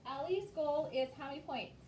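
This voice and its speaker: High-voiced woman teacher in an echoey classroom. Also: moderate pace, mellow. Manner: directive, guiding step by step. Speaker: elementary school teacher. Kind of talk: classroom instruction to children. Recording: echoey room, distant mic, faint noise.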